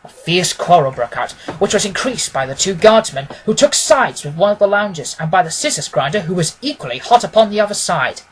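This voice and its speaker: A man narrating a classic story as a scripted audiobook, in a measured, literary storytelling manner. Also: sits high, very animated, a little hiss or hum, low-quality recording.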